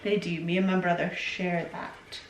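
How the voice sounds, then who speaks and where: A woman's voice: fairly low pitch, flat delivery, normal speed. A woman in her forties, raised in Scotland, answering viewer questions at home in a rambling, chatty way. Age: 40s. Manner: rambling, chatty, self-interrupting. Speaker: vlogger. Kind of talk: casual Q&A chat. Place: home room. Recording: echoey room from far off.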